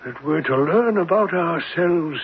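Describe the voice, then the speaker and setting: An actor playing an earnest, awestruck professor in a radio drama, with a wondering, deliberate delivery. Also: male voice, on the high side, expressive, husky. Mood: awed. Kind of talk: old-time radio drama acting. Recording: muffled, crackly old radio recording.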